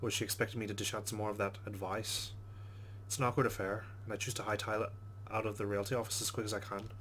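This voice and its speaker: Guy doing a visual novel let's play, deeper voice, reads narration out and comments, slight background noise. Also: little pitch movement, fast talker, a bit bright. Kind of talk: reading game narration aloud with casual asides.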